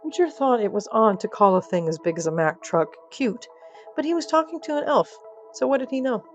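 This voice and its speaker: A woman's voice: a little high, big pitch swings, a little fast, faint music behind. A woman narrating scripted comic fiction as a storyteller, with wry, dry asides.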